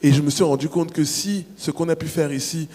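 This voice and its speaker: Man from Cameroon, panel author: measured, raised voice, long hall reverb. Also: on the high side, fairly even intonation, dark tone. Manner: measured and explanatory, with frequent restarts. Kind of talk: answering questions at a public panel.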